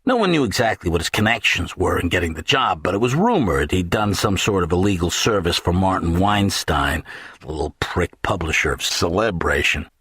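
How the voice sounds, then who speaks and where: A low-voiced man narrates a comic first-person audiobook with wry, dramatic flair. His tone is husky and the recording is close and dry. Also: lively intonation, moderate pace, fairly bright tone, dry recording.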